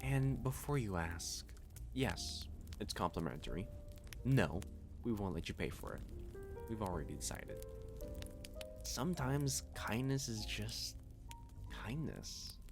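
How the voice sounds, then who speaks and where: A man as a low-voiced, playfully doting catboy waiter. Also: big pitch swings, takes it slowly, pauses often, over music, heavily acted. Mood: warm, reassuring.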